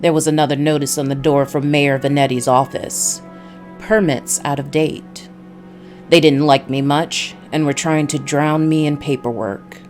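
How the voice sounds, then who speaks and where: A woman's voice: deep voice, fairly even intonation, a little slow, a little mellow, faint music behind. A woman voicing a world-weary noir detective who narrates his story in a brooding, scripted style from a visual novel.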